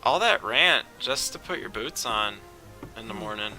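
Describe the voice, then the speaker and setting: A man's voice: on the low side, lively intonation, moderate pace, bright, forceful tone, faint music behind, poor audio. A man casually commentating on a game, rambling, sarcastic and profane.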